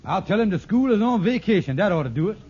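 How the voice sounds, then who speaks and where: An actor playing a fast-talking, scheming, slippery con artist in an old radio sitcom, in folksy ungrammatical speech full of stalling. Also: male voice, sits high, big pitch swings, darkish tone, husky, exaggerated voice. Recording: noisy, muffled vintage radio recording.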